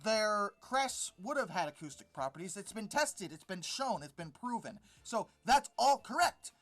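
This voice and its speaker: An informal video commentator with a middling pitch critiques material point by point. The delivery is exasperated and sarcastic, often waving things off dismissively. Faint music plays behind the voice. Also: very animated, normal speed, fairly bright tone. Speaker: host of a paleontology commentary channel.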